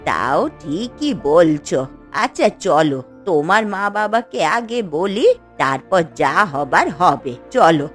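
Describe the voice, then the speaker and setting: A woman voicing a gentle elderly village grandmother in a fairy-tale cartoon, speaking in a drawn-out, reassuring way. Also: on the low side, lively intonation, soft background music. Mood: awed, hopeful.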